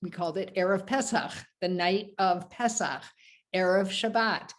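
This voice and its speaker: A woman's voice: lowish voice, moderate pace. American woman rabbi teaching, rambling with ums. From United States. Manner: warm, meandering teaching with many ums and asides. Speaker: rabbi teaching Torah study. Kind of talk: informal Torah study over video call.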